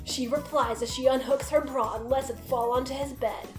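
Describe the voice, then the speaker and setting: A woman's voice: sits high, fairly even intonation, normal speed, darkish tone. A woman narrating a comic story as a dramatic reading. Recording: roomy echo, music behind.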